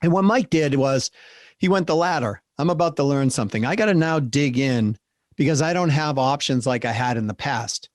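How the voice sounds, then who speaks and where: A man's voice: medium pitch, moderate pace, no echo. Man, Boston accent, middle-aged, upbeat podcast host, emphatic, dry recording. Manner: upbeat, emphatic, speeds up in bursts. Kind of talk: interview hosting and wrap-up.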